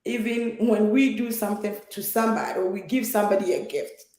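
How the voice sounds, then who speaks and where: A woman's voice: medium pitch, fairly quick, darkish tone, reverberant. A woman from Ghana, a guest in an interview, speaking earnestly with many fillers and repeated words. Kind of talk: conversational interview.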